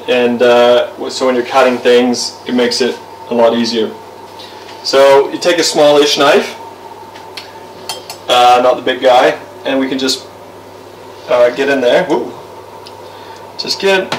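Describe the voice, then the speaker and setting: A young man ambles through a home cooking demo, full of "uh"s and easy asides. His voice is picked up from a camera across the kitchen, so it sounds roomy and a little distant. Soft clatter of pans sits underneath. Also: mid-range voice, measured pace, long pauses, slight background noise. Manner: relaxed, rambling, lots of fillers. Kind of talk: casual cooking demonstration. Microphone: camera mic from across the room.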